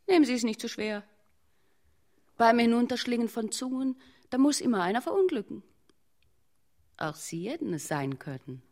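A woman playing a melodramatic, clinging mother in a German radio play, declamatory and imploring. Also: fairly high pitch.

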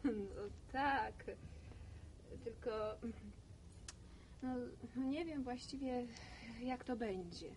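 A reserved young visitor in a radio drama. Her voice is fairly high and slow, with hesitant short phrases, over clear background noise. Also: darkish tone, poor audio. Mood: uneasy, hesitant. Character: reserved, uneasy young woman arriving in the village. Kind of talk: radio play acting. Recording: noticeable background ambience noise.

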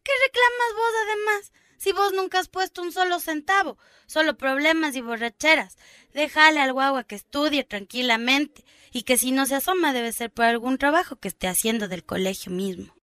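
A woman's voice: high-pitched, lively intonation, normal speed, bright, forceful tone, no room sound. A woman playing a hardworking market mother, reproachful and indignant, in a radio drama. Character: hardworking market-vendor mother, scolding her drunkard husband.

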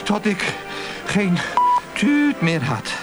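An actor playing a lecherous, boastful and excitable male customer in a comic radio play, tending to stammer. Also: high-pitched, drawn-out words, loud music behind the voice, exaggerated voice. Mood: bragging.

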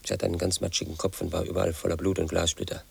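An actor playing a brooding, paranoid man in his thirties, telling his own story as a flat inner monologue in a radio play. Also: low-pitched, rapid-fire, creaky, slightly rough, full character voice. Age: 30s. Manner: flat, brooding, quietly obsessive.

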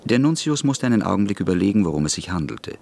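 A man narrating a radio play in a brisk, crisp storytelling manner. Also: sits low, big pitch swings, darkish tone.

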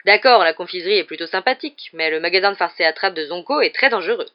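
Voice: medium pitch, expressive, lo-fi sound, close to an everyday voice. A reader as a grave, pompous older schoolboy. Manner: grave, measured.

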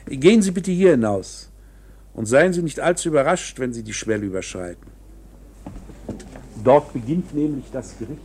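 A man acting in a German radio drama as a slippery, eccentric court insider who explains things in an insinuating, theatrical way with dramatic pauses. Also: mid-range voice, animated, mellow, a little hiss or hum, natural voice. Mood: sly. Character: slippery, eccentric male court insider coolly explaining hopeless legal options.

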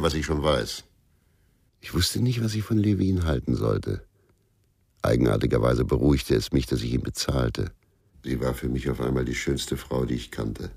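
A man's voice: rumbling low, a little mellow, exaggerated voice. An actor playing a brooding, short-tempered middle-aged ex-policeman who drinks, weary and terse, in a German radio crime drama. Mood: weary, defensive. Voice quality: gravelly, husky.

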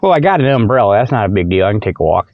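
A man's voice: lowish voice, big pitch swings, rapid-fire, dry recording. A man hosting a comic radio show in a folksy, joking monologue that keeps wandering off track. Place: inside a truck. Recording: dry, dull lo-fi audio.

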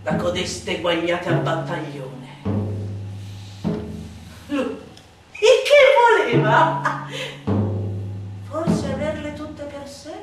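A woman's voice: fairly high pitch, animated, very slow, pauses often. A woman giving a declamatory, emphatic theatrical recitation of a literary monologue, with dramatic swings. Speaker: stage and radio actress performing a literary monologue. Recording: echoey room, distant mic, loud percussion music. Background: timpani and percussion music.

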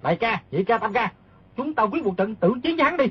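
Reckless defiant warrior in radio wuxia, high-ish and husky, very dry. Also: male voice, big pitch swings, fast talker, no room sound, dull audio, heavily acted. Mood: fired up. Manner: defiant, forceful. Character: hot-headed fearless fighter spoiling for battle. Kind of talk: dramatised audiobook reading.

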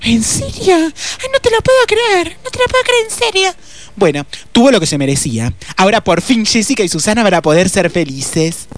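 Excitable detective's assistant with swooping voice played by a woman. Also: a little high, normal speed. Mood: amazed, gushing. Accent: Argentine. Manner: exclamatory, questioning. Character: eager, curious female detective's assistant, chatty and excitable. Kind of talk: radio drama acting.

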